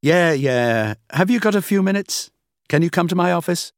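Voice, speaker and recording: Man reading earnest young woman, questioning, lifted pitch, dry sound. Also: lively intonation, normal speed, dry recording.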